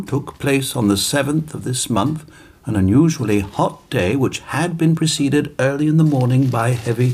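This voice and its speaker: A man playing a gentlemanly English merchant in a radio play, articulate and formal, with a taste for curiosities. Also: mid-range voice, slight background noise, natural voice. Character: English merchant Green, gentlemanly newspaper reader fond of curiosities.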